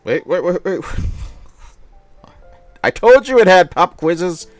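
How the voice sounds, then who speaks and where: A man's voice: high-pitched, sing-song, slow, no room sound. Man rambling over game music. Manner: rambling, repeats himself. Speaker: game streamer at a charity event. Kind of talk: casual live game-stream commentary. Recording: music playing behind the voice.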